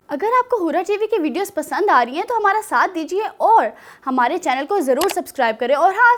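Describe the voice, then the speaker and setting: High-pitched woman telling children's stories. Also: a bit bright. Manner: asks listeners questions, teacherly. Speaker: children's show host and storyteller. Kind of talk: storytelling to children.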